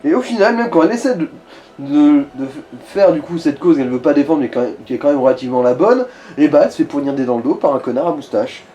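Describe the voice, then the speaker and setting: Mellow, slightly high-voiced man chatting about games. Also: animated, fast. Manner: crude, slangy, sardonic. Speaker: video game commentator. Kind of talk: casual gaming chat. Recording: noticeable background noise. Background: background noise.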